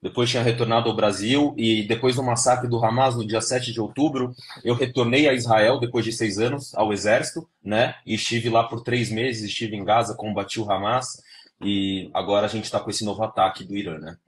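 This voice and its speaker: A man's voice: fairly low pitch, fairly even intonation, brisk, rough recording. A young man from São Paulo with a São Paulo accent, a guest on a live stream, explaining steadily in a lecturing way. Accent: São Paulo Portuguese. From Brazil, São Paulo. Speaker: interview guest.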